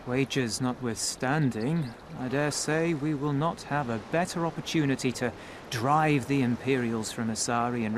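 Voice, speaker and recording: A dutiful pirate first mate from a video game, played by a man, with a mid-pitched voice. He is wry and formal, choosing his words with measured care. Clear noise of water and open air runs behind him. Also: close to an everyday voice. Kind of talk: video game cutscene dialogue.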